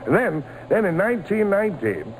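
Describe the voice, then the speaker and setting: A man's voice: sits high, sing-song, fairly quick. An actor playing a folksy, enthusiastic father telling baseball tales to his son in an old-time radio drama. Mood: proud, eager. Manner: folksy, relishing. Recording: noisy old radio recording.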